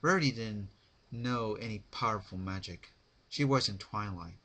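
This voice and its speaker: A man narrating horror fan fiction as a dramatic reading, halting and stumbling over words now and then. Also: on the low side, pushed and bright.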